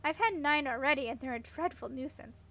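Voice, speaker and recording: A woman's voice: high voice, fairly quick, sharp tone. A woman voicing a headstrong, practical young princess in a storybook, with a frank, questioning, matter-of-fact manner. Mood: brisk, practical. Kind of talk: audiobook character voice.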